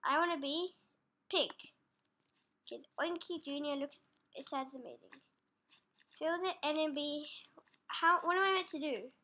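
A child gamer, sniffly from a runny nose, giving excitable casual commentary while playing. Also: female voice, high-pitched, a little slow, long pauses. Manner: excitable, squeals and groans at the game. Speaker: gameplay commentator. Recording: loud background noise.

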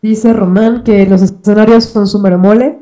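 A woman's voice: mid-range voice, steady tone, normal speed, warm tone. A young woman, co-hosting a live stream and relaying viewer comments aloud in a plain way. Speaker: co-host reading chat.

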